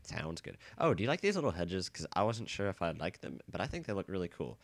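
A young man with a bad cold and a nasal, stuffy voice, chatting casually and rambling excitedly while playing a game. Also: deep voice, fast talker, vocal fry, no room sound. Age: young adult. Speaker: let's play gamer. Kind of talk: casual gameplay commentary. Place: dorm room.

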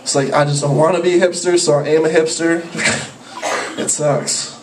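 A man's voice: on the high side, fairly even intonation, normal speed. A young man performing open mic stand-up on a handheld mic, rambling and profane, jumping into yelped character voices. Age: young adult. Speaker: stand-up comedian. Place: small cafe with a live audience. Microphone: handheld mic, filmed from a far camera. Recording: roomy, distant camera audio with room noise. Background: audience laughter.

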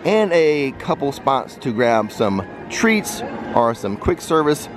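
A man's voice: mid-range voice, sing-song, slow pace. A man narrating an unscripted walking tour as a vlogger and guide, speaking directly to viewers and pointing out sights. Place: outdoors, walking through a busy theme park. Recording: outdoor ambient noise of busy park.

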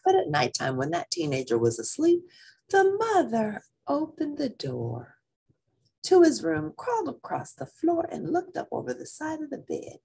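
Woman, very animated storytelling, gravelly low voice, dramatic children's read-aloud. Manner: dramatic, with drawn-out repeated phrases. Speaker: picture-book reader.

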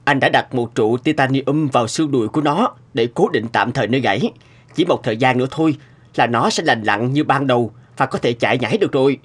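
A man's voice: medium pitch, lively intonation, quick pace, breathy edge. A man voicing a mild, caring married doctor in a cartoon, explaining things conversationally. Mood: reassuring. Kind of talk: Vietnamese cartoon dubbing.

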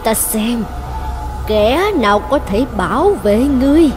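A woman playing a proud dragon goddess in a dubbed fairy-tale cartoon, with theatrical, expressive delivery. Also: a little high, takes it slowly, music in the background. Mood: betrayed, vengeful. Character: proud dragon goddess, devoted wife turned vengeful.

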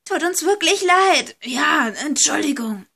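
Woman playing a timid, slow-speaking young friend. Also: sits high, animated, pushed and bright, exaggerated voice. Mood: anxious, apologetic. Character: timid, apologetic young friend exploring a haunted villa. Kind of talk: amateur horror audio story.

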